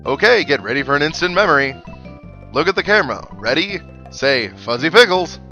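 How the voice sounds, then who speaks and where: A pompous, over-cheerful photographer from a video game, put on by a man, pitched up high and exclaiming grandly. Game music plays behind the voice. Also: very animated, a little fast, pushed and bright, muffled recording, exaggerated voice. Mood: gleeful, showy.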